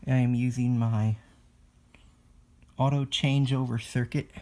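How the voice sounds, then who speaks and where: A man giving an unscripted hands-on technical demonstration, explaining matter-of-factly in halting short phrases, recorded on a phone. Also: medium pitch, steady tone, darkish tone. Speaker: hobbyist presenter of own electronics demo. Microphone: phone recording video.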